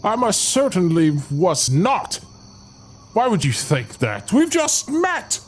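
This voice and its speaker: A man plays an old groundskeeper in a horror audio drama, ominous yet polite. He speaks slowly and theatrically, with formal phrasing and wide swings of pitch. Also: huge pitch swings, heavily acted. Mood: indignant. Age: older.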